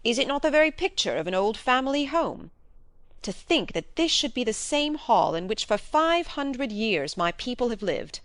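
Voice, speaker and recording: A woman's voice: on the high side, very animated, moderate pace, a bit bright, no room sound, voice pushed up. A woman voicing an eager young male heir to an ancient manor in an audiobook, earnest and boyish. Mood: eager, awed. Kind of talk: audiobook character acting.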